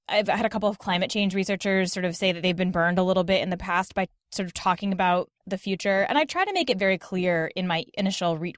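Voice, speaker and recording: A woman's voice: lowish voice, no echo, studio quality. A woman talking casually as a podcast guest, explaining at length with plenty of "like" and "sort of". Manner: talkative, explanatory, frequent "like" and "sort of". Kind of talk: casual interview conversation.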